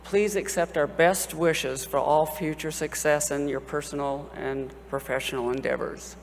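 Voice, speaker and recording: A woman's voice: low voice, steady tone, moderate pace, vocal fry. A woman who is a board of regents member gives a formal, dignified address while conferring degrees in a large ceremony hall. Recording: long hall reverb, faint background noise.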